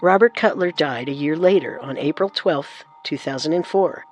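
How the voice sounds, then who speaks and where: High-voiced man narrating true crime. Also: normal speed, soft background music. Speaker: narrator and co-host.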